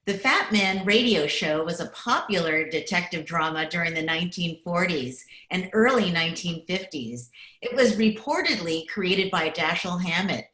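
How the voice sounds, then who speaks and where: Female announcer, unhurried, lowish pitch, scripted old-time radio style, roomy sound. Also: pushed and bright. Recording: roomy video-call recording.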